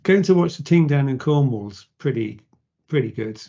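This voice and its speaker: Guy hosting a podcast, chatty and teasing, warm-ish tone, lo-fi sound. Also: medium pitch, animated, moderate pace. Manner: casual, joking, loose. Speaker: podcast host. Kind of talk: conversational interview. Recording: dull lo-fi sound.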